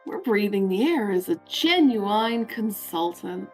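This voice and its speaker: A woman playing a coy, slow-talking shopkeeper. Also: medium pitch, lively intonation, faint music behind, natural voice. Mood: teasing. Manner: coy and bargaining. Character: shrewd, teasing woman shopkeeper.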